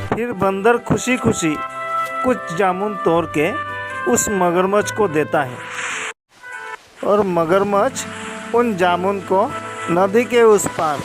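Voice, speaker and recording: Male narrator for children's tales, high-pitched, unhurried delivery, with music clearly behind. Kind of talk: scripted children's story narration. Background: background music.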